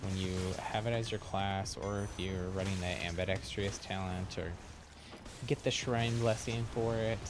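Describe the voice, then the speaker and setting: A man's voice: deep voice, steady tone, normal speed, background noise. A man giving casual live gameplay commentary, chatty and thinking aloud as he plays. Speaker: livestream gamer.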